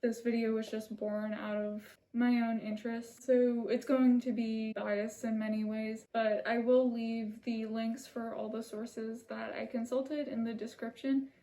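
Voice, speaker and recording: A woman's voice: on the high side, flat delivery, measured pace, hardly any pauses. A woman hosting her own channel, reading a scripted video essay in an earnest, apologetic way. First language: not Italian. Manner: soft-spoken, apologetic, earnest. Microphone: camera mic, seated holding a script.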